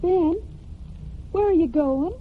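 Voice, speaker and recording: A woman's voice: very high-pitched, slow pace, a little hiss or hum. An actress playing an earnest, steadfast young farm woman in a 1940s radio drama. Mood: pleading. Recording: dull 1940s radio broadcast.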